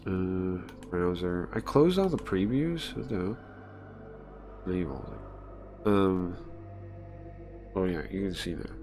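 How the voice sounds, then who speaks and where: A man's voice: sits low, animated, takes it slowly, pauses often, a little mellow, soft background music. A man livestreaming casually, thinking aloud, muttering to himself and repeating phrases as he goes.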